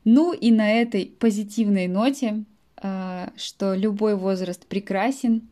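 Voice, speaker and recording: Late-20s female language teacher, native speaker, warm and mellow, patient explainer with soft fillers, podcast monologue. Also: mid-range voice, measured pace. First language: Russian. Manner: explains words in simple terms, with frequent soft fillers.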